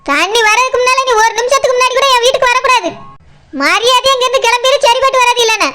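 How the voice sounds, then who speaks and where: A woman playing a bossy, irritable female house owner in a comic cartoon, scolding sarcastically in Kanyakumari Tamil, with her voice pitched up. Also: fast talker, sharp tone, slight background noise. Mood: stern, annoyed.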